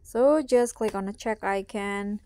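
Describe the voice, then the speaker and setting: A woman's voice: mid-range voice, a little slow, warm tone. A woman hosting a step-by-step phone app tutorial in a casual, instructional style. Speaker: tutorial host.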